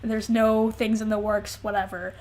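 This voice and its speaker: A woman's voice: mid-range voice, level tone, moderate pace, darkish tone. A woman co-hosting a podcast in casual, comedic chat, with an exclamatory, dramatic, sweary way of talking. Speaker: podcast co-host.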